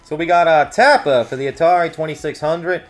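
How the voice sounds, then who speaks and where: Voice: mid-range voice, a little fast, faint music behind. A co-host of a store news show, reading out event listings with casual banter and playful joking asides.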